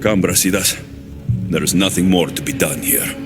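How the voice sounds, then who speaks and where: A man acts a steadfast Greek mercenary hero in a game cutscene. The voice is low, earnest and firm, set against loud music. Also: normal speed, natural voice. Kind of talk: dramatic video game acting. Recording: loud cinematic music behind.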